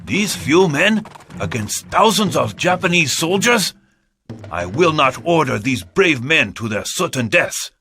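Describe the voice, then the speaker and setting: Grave Korean admiral, video game drama. Oratorical, commanding, big swings in pitch, music behind. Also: male voice, very animated, measured pace, bright, forceful tone, exaggerated voice. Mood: grim, despairing. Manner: solemn, oratorical delivery.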